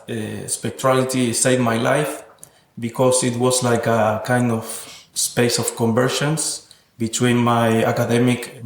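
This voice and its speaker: Man, Argentine accent, native Spanish speaker, medium pitch, reflective interviewee, measured academic phrasing, echoey room. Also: a little hiss or hum. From Buenos Aires, Argentina. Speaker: podcast guest, sound artist and researcher. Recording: roomy, echoing sound.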